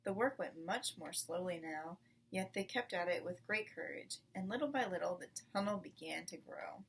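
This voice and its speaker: A woman reading a children's book aloud in an expressive, deliberate storytelling manner. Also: fairly low pitch, gravelly, distant mic, a little hiss or hum, poor audio. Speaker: storybook reader.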